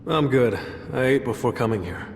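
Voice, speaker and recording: An actor playing a calm, self-assured young monster hunter in a game cutscene, speaking in a reserved, deliberate way. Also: male voice, mid-range voice, slight background noise, natural voice. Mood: polite, reserved. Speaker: voice actor playing the game's protagonist. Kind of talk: English game cutscene acting with inner monologue.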